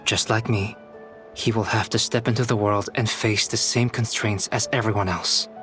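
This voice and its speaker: A man playing a calm, soft-spoken young scholar, an artificial human in a video game, speaking formally and reflectively. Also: lowish voice, close to an everyday voice. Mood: calm, thoughtful. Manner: measured, reflective, formal diction. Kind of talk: scripted video game dialogue. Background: background music.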